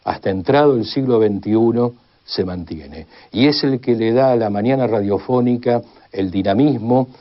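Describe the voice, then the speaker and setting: A man's voice: mid-range voice, moderate pace. A man with an Argentine accent giving a formal expert explanation in long, list-like sentences. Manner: formal, builds long enumerations. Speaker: expert commentator on radio history. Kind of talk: measured spoken exposition. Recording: slightly dull, band-limited sound.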